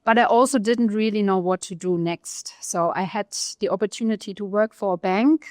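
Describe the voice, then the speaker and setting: German-accented woman economist, reflective guest, mid-pitched, dead-dry mic. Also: a little mellow, no room sound. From East Germany. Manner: thoughtful, pauses often with fillers. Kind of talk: podcast interview, career story.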